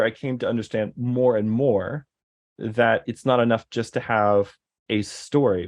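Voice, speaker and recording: A man's voice: fairly low pitch, darkish tone. A man in casual conversation, rambling, with many fillers and restarts. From American Deep South. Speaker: guest.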